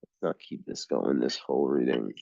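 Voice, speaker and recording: A man working through an astrology chart reading on his own, halting and rambling as he goes, clearing his throat often, a little hoarse. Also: extremely low pitch, dark tone, dry recording. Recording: dull lo-fi sound.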